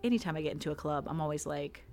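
Podcast guest, woman, calm low voice, relaxed chatty delivery, faint music underneath. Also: very fast. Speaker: hypnotist guest. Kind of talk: casual podcast conversation.

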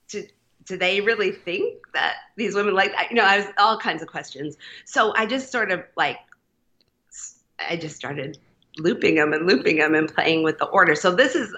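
A woman's voice: on the low side, bright, forceful tone, lo-fi sound. A woman poet reading her work aloud, then chatting casually about writing with frequent fillers and laughs. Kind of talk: reading a poem, then casual interview talk.

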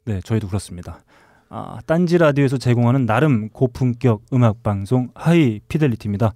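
A man's voice: medium pitch, lively intonation, a little fast, mellow, no room sound, clean studio sound. A man hosting a music podcast, bantering with his co-hosts.

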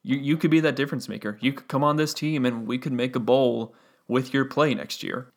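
A young man hosting a solo sports podcast, talking through a game casually and analytically with a gravelly voice and frequent fillers. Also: medium pitch, fairly even intonation, fairly quick. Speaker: podcast host.

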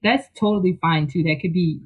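A person from Philadelphia, a guest talking in an enthusiastic, chatty way full of "like" and quick laughs. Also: medium pitch, slightly rough, poor audio. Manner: enthusiastic, chatty, filler-heavy, laughs often. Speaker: guest, technical writer. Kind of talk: casual interview chat.

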